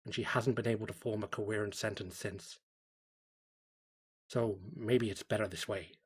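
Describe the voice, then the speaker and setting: Husky solemn man as a devout cult diarist. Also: fairly low pitch, fairly even intonation, normal speed. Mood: grave, devout. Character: fervent cult devotee confiding in a diary. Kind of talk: dramatic horror story narration.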